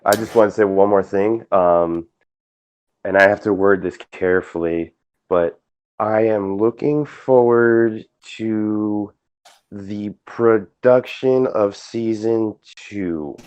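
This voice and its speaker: A man chatting as an interview guest, speaking earnestly and haltingly over a lo-fi voice call. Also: lowish voice, darkish tone, clear, resonant tone, dry recording. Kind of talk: casual interview chat. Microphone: online voice call. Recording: dull lo-fi voice call.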